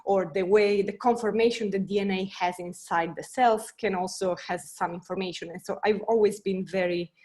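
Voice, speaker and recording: Italian-accented woman scientist, earnest, halting, mid pitch, panel talk. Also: fairly even intonation, a little mellow. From Italy. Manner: earnest, searching, many fillers and restarts.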